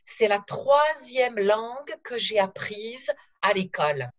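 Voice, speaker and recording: A middle-aged woman recalling her travels in a slow, careful storytelling manner over a video call from her living room. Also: on the high side, expressive, a bit bright, highs cut off. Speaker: interview guest. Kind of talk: conversational podcast interview.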